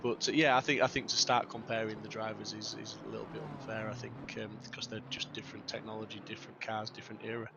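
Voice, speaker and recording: A man's voice: lowish voice, flat delivery, quick pace, gravelly, hardly any pauses, dull audio, noisy background. A middle-aged man co-commentating on a sim racing stream, rambling with many "um"s.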